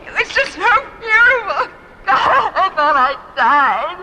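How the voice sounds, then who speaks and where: Emotional young heroine for radio sci-fi. High voice, unhurried breathy wonder, music underneath. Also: female voice, sing-song, heavily acted, low-quality recording. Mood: overwhelmed joy. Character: Mora, awestruck emotional young woman in space adventure. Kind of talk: old-time radio science fiction acting.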